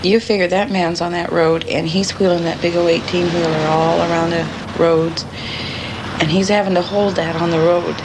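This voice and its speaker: A woman shares an opinion in a casual, unscripted way. Her voice is slightly low and very even in tone, on a noisy archival recording with vehicle ambience. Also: normal speed. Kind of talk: casual opinion, speaking off the cuff.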